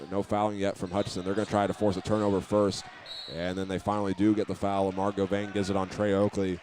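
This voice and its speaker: A man doing live play-by-play commentary of a high school basketball game as the announcer. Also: a little mellow. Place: indoor high school gymnasium. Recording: noisy gym ambience behind.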